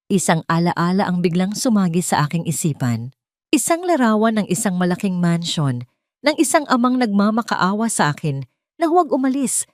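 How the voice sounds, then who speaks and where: A woman with a fairly low voice narrates a dramatic story in the first person. Her delivery is solemn, measured and emotive. The sound is dry, with very little room around it. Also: darkish tone, dry recording.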